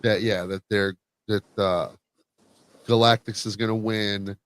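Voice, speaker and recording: A man co-hosting a casual livestream chat, answering in short, halting replies. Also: fairly low pitch, fairly even intonation, clear, resonant tone. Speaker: podcast co-host.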